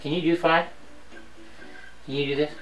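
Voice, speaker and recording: A woman with a low voice chatting casually and teasing her friend while they play a video game. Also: normal speed. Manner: playful teasing, lively exclamations. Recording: roomy, distant home video.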